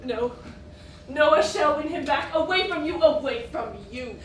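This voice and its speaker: A woman's voice: high voice, moderate pace, natural voice. A woman on stage playing the jealous, miserable inner self of a society wife, raw and vehement. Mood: anguished, vengeful. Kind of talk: stage play acting. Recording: echoey theatre, far mic, noisy.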